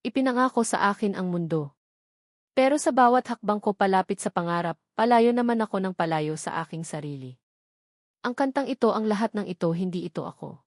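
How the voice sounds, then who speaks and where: A woman's voice: mid-range voice, lively intonation, fairly quick, no echo, clean studio sound, lightly acted. A woman voicing an earnest, remorseful young male singer in a scripted story, speaking solemnly. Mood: earnest, confessional.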